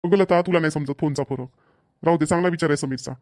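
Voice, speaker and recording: A man's voice: on the high side, fast talker, darkish tone, close to an everyday voice. A man voicing an easygoing middle-aged village father in a cartoon, matter-of-fact and approving, with a Vidarbha Marathi accent. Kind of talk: amateur cartoon dialogue.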